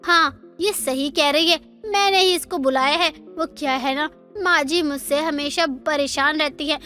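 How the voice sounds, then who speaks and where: A woman's voice: sits high, unhurried, pushed and bright. A woman voicing a lazy, insolent young daughter-in-law who argues back in a moral story cartoon. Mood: smug. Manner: defiant, argumentative. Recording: music under the voice.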